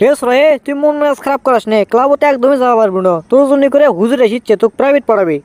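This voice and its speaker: A man's voice: very high-pitched, rapid-fire, a crowd in the background. A man voicing a village father who scolds and coaxes his grown son, in comic cartoon dialogue with a Bogura accent from northern Bangladesh. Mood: scolding. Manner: bossy, scolding, then coaxing.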